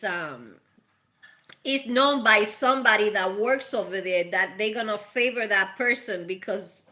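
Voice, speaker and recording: A woman's voice: medium pitch, pushed and bright. A woman from Puerto Rico with a Puerto Rican accent and Spanish as her first language, hosting a casual tarot reading and speaking chattily and affectionately to her viewers. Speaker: tarot reader and channel host. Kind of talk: casual live tarot reading with spiritual advice.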